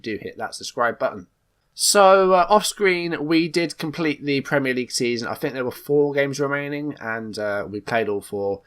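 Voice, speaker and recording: A man's voice: medium pitch, a bit bright. A man with a slight cold hosting a casual let's play video, recapping matter-of-factly in a conversational way. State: coming down with a cold. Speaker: YouTube gaming channel host.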